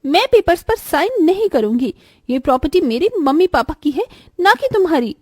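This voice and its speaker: A woman's voice: high voice, very animated, normal speed, a little mellow, no room sound, studio quality, pitched above the performer's own voice. A woman voicing a bitter, domineering young elder stepsister in a cartoon moral story, with an emphatic, dramatic delivery. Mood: defiant.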